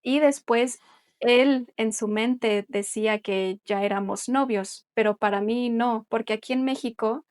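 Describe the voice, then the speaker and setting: Chatty Mexican woman, a bit high. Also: measured pace, darkish tone. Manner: chatty and explanatory, with frequent light laughs. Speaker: Spanish teacher, podcast co-host. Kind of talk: casual conversational podcast chat.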